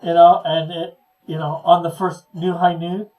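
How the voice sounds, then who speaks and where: A man hosting a live stream, chatting casually and reading comments in a halting, chuckly way. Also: fairly high pitch, little pitch movement, phone-quality sound.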